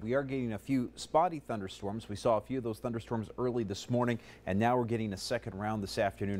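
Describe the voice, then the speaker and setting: A man in his thirties giving a live TV weather forecast in a studio, brisk and conversational, running through numbers steadily on a lapel mic. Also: on the low side, darkish tone. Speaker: TV meteorologist. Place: TV studio, in front of a weather map.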